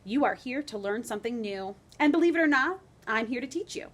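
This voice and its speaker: A teacher reading as a cheerful rat explorer. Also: female voice, a little high, animated, a little fast. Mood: enthusiastic. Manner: bright storybook read-aloud.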